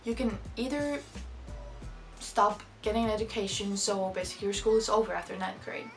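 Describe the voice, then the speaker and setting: A woman's voice: medium pitch, brisk, soft background music. A woman in her late teens with a Finnish accent, a native Finnish speaker, talking casually to camera as a vlogger and explaining things. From Finland. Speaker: vlogger, exchange student.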